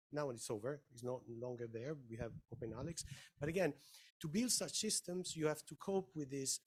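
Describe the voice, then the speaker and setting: A man's voice: fairly high pitch, darkish tone, breathy edge. A man presenting on a conference panel, explaining emphatically and checking in with his listeners. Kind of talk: conference Q&A answer.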